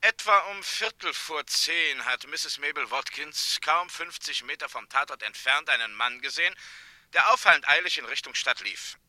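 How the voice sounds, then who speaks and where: An actor playing a stern, controlled state prosecutor in a radio crime play, speaking in clipped, matter-of-fact phrases. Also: male voice, on the high side, lively intonation, moderate pace, pushed and bright, no room sound. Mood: cool, official.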